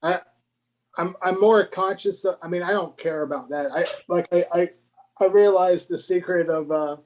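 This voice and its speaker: Fifties male interviewer, somewhat high-pitched, halting, restarts his questions often. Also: steady tone, darkish tone, poor audio. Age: 50s. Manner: halting, restarts and hesitant phrasing. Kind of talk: casual conversational interview.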